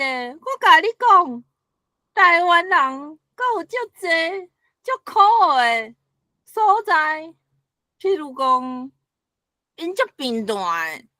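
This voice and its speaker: A very high, bright voice swooping wildly and dragging out every grievance: a petty, scheming folk-tale spirit with a Taiwanese accent. It whines indignantly as it tells tales to heaven. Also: female voice, no echo, clean studio sound, heavily acted. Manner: whining, indignant, drawn-out complaining.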